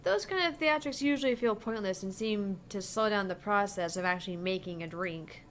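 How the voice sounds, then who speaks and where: A woman voicing a dry, skeptical young female bartender in a video game, reserved and deadpan. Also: medium pitch, moderate pace, close to an everyday voice. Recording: clear background noise.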